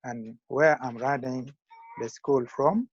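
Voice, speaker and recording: An older man in his sixties with a Ugandan accent, giving measured, formal interview answers as a school founder. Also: medium pitch, dry recording. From northern Uganda. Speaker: school founder and retired teacher. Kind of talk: interview answers on a video call. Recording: muffled lo-fi call audio.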